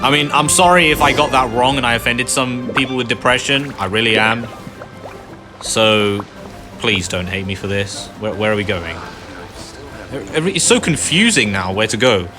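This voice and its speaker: A man's voice: mid-range voice, expressive, moderate pace. A man casually commenting over a game playthrough in a rambling, offhand way, often correcting himself. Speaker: gameplay commentator. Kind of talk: casual let's-play commentary. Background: game music.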